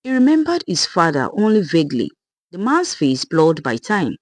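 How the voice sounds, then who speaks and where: Folktale narrator, lively varied pitch, slightly husky voice, dry close sound, dramatic scripted delivery. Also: normal speed, no echo. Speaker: storyteller.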